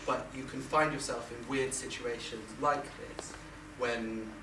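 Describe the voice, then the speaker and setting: Male moderator, halting and dry, far from the mic in a noisy, echoing hall. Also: medium pitch, steady tone. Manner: hesitant, filler-heavy, dry asides. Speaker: panel moderator. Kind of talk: panel discussion.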